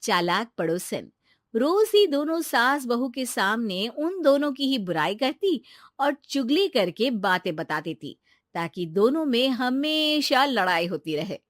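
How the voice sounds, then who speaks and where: A woman narrating a scripted animated story. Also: high voice, measured pace, no echo, studio quality.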